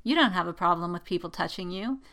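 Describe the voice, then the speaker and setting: A woman's voice: lowish voice, expressive, quick pace, pushed and bright. A woman reading the part of a teasing, gleeful male pilot in a story, sardonic and needling. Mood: helpful. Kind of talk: dramatic fan fiction reading.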